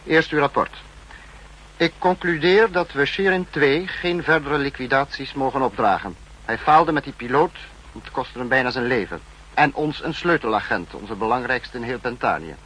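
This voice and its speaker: A stern Protector of a secret service in an old 1960s radio serial. The voice is slightly husky, at a middle pitch. Every phrase is curt, superior and commanding, like a lecture to a subordinate. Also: male voice, normal speed, fairly bright tone, poor audio. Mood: coolly businesslike. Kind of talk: 1960s Dutch radio drama acting.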